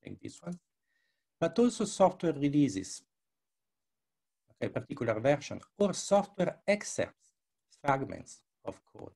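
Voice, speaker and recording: An older man who is a non-native English speaker, giving a live demo presentation in a gravelly, husky voice, often repeating words. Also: fairly high pitch, sing-song, measured pace, long pauses, darkish tone. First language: not English. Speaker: researcher presenting his work. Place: indoors, on a video call. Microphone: headset.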